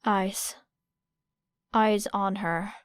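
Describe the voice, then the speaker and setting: A woman's voice: medium pitch, fairly even intonation, very slow, clear, resonant tone, no room sound. A woman reading scripted fiction as an audiobook narrator. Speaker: podfic narrator.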